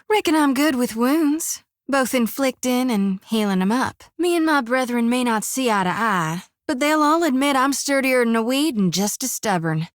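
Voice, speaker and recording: Folksy young priestess. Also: female voice, a little high, dry recording, clean studio sound. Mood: determined. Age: 20s. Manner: folksy homespun drawl with rural sayings. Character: young woman soul-harvesting priestess, folksy and stubborn. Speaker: game companion. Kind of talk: game voice acting.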